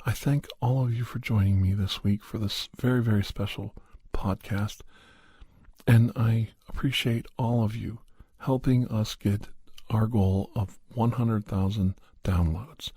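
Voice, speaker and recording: A man with a soft, husky voice telling a story in a gentle, measured ASMR style as a podcast host. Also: lowish voice, steady tone, no room sound.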